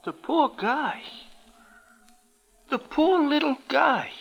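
Squeaky, creaky excitable Broadway gambler. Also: male voice, slow, highs cut off, heavily acted. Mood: saddened. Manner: slangy, exclamatory, questioning. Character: excitable, soft-hearted small-time Broadway betting man. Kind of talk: 1940s-50s radio drama acting.

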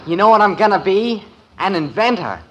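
An actor playing an eager, boastful American schoolboy, excitable and insistent, in a 1950s radio sitcom. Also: male voice, high voice, a little fast, highs cut off. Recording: very noisy old radio recording.

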